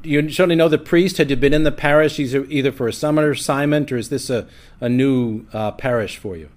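A man's voice: mid-range voice, fairly quick, a little mellow. A man hosts a conversational podcast interview as a seminary rector.

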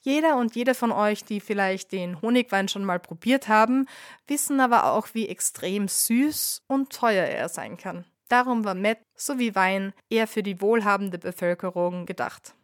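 A woman's voice: mid-range voice, moderate pace, no echo. A woman hosts her podcast with a cold, delivering scripted history in a playful, wry tone. Her voice sits in a mid range for a woman. The recording is dry and close, with no room sound. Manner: playful, wry storytelling with ironic asides. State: has a cold. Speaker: podcast host, bartender.

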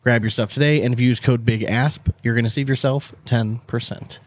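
A man's voice: mid-range voice, fairly even intonation, fairly quick, no room sound. A man co-hosting a podcast in casual, laid-back banter, sometimes drawing out his phrases. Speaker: podcast co-host.

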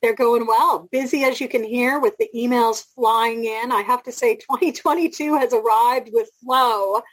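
A woman co-hosting a podcast in a conversational, reflective way with frequent asides and a slightly husky voice. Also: a little high, normal speed, no room sound, poor audio. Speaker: podcast co-host.

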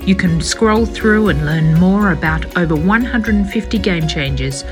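A woman announcer reading a measured, scripted podcast outro. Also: fairly low pitch, over music.

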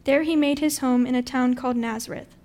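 A woman's voice: high-pitched, steady tone, fairly quick, dark tone. A woman reading a Bible passage aloud from a script as narration. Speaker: scripture reader.

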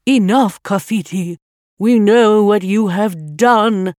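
A woman's voice: medium pitch, a little mellow, dry recording, clean studio sound, lightly acted. A woman voicing an angry magic water spirit in a storybook, scolding in declamatory proclamations. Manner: slow, declamatory rhyming proclamation. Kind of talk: storybook character voice.

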